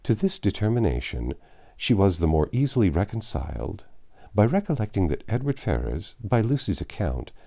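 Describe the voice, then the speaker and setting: Deep-voiced man reading a classic novel. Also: very animated, normal speed, creaky, mellow. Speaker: narrator.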